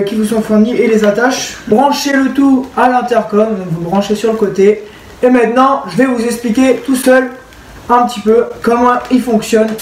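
A man's voice: sits high, darkish tone, slight background noise. A young man giving a casual product tutorial, informal and talking straight to the viewers. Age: young adult. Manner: informal, addressing viewers directly. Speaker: video creator and presenter. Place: indoors in a home room.

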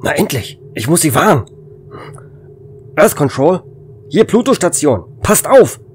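A man's voice: a little high, big pitch swings, unhurried, slight background noise. A man playing a desperate, weary space station officer in an audio drama, speaking in urgent, dramatic, clipped phrases.

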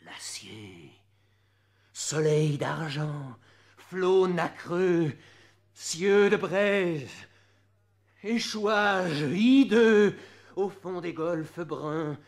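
A man giving a dramatic literary poetry recitation in measured phrases with drawn-out words, swinging between hushed delivery and loud declamation. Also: fairly high pitch, lively intonation. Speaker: poetry reader, performer.

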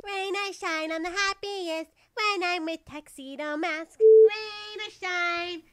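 A woman's voice: steady tone, measured pace. A woman voicing a whiny, hyper little boy with a lisp for a cartoon parody. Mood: adoring. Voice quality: squeaky. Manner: whiny, yelling.

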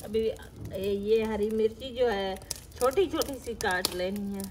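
A woman's voice: medium pitch, slow pace, noisy background. A middle-aged woman, explaining a recipe step by step in a homely, matter-of-fact way as she cooks. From Pakistan. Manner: homely, matter-of-fact instructions with frequent fillers. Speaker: village cooking vlogger. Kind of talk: step-by-step cooking narration. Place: outdoor courtyard with brick walls.

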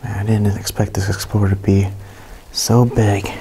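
A young man with a low, even voice talks straight to his handheld camera as he walks through a big abandoned house. He sounds hushed and amazed, reacting to each room. A faint hiss sits under the recording. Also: normal speed. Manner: hushed and awed, reacting to what he finds. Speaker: urban explorer vlogger. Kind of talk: casual walkthrough narration to camera. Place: inside a large abandoned mansion.

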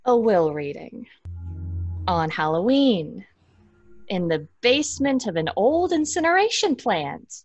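An actress playing a sardonic, impatient young twin sister in an audio drama, with a dry, sarcastic manner. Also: female voice, medium pitch, very animated, measured pace, highs cut off, faint music behind.

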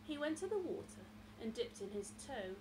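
Higher-pitched woman storyteller, noisy room. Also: sing-song, normal speed. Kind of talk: reading a picture book aloud to children. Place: indoors, small room lined with bookshelves.

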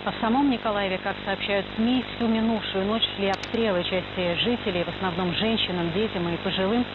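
A woman's voice: mid-range voice, steady tone, fairly quick. A woman presenting scripted radio news. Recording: noisy dull shortwave radio broadcast, heavy hiss.

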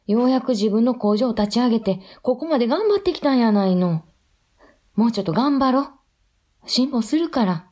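An anxious but supportive Kansai wife in a radio drama. Her voice is mellow and a little husky, that of a mother worn by hard times. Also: on the high side, lively intonation, moderate pace. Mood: pleading.

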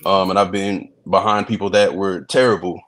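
A man from Texas, a comedian, chatting in a relaxed video-call interview, laughing easily and repeating words as he starts his sentences. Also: low voice, normal speed. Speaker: comedian and reality show guest. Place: indoor room.

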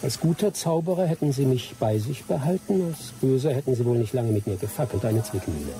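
A man's voice: medium pitch, animated, a little fast, dark tone, soft background music, natural voice, poor audio. An actor playing a seasoned, teasing male jungle adventurer with dry humour in a German radio drama. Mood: wry storytelling.

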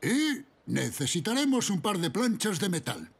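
An actor playing a kindly, inventive old grandfather zebra in a cartoon, talking slowly with a chuckle. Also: high-pitched, very animated, vocal fry, heavy background noise, exaggerated voice. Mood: cheerful. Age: older.

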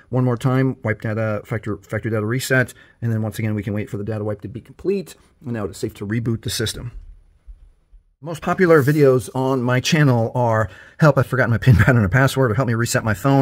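A man's voice: mid-range voice, dry recording, clean studio sound. Patient man walking through phone steps. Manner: friendly, patient, instructive. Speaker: tech tutorial host. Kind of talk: step-by-step phone tutorial.

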